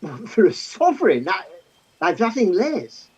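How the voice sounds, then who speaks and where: A polite, flustered gentleman of the stage, played by a woman, with a husky voice and lively, swinging intonation. He is hesitant and fussily proper, and the recording is a little muffled. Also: medium pitch, unhurried, full character voice. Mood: flustered, apologetic. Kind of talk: theatrical staged play reading.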